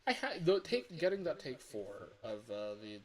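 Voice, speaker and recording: A man's voice: medium pitch, wildly swooping pitch, normal speed. A man chatting casually on a livestream as an amateur voice actor, rambling, self-deprecating and breaking off mid-thought.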